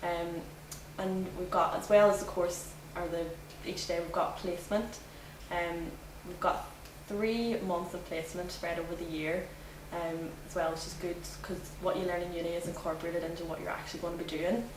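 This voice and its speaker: A woman's voice: on the low side, fairly even intonation, moderate pace, hardly any pauses, distant mic. Chatty young Northern Irish woman. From Northern Ireland. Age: young adult. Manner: chatty, laughs often, many fillers. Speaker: student interviewee. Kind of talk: casual interview answers. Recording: echoey room, from afar, noisy.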